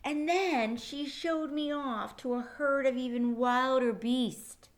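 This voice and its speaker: A woman's voice: sits high, slow pace, voice pushed up. A woman voicing a small furry male beast in a picture book, aggrieved, emphatic and theatrical. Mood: fed up.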